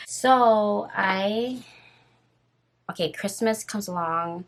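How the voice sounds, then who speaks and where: A woman in her twenties with a raspy voice, casually telling a story on her vlog while doing her makeup, rambling and full of fillers. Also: medium pitch, very slow. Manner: rambling, filler-heavy and nervous. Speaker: vlogger.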